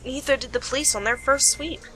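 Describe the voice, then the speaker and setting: A woman's voice: high-pitched, brisk, bright, forceful tone, slight background noise. A woman playing a shrewd, self-assured detective in an amateur radio play, explaining with dramatic deliberation. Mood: pensive.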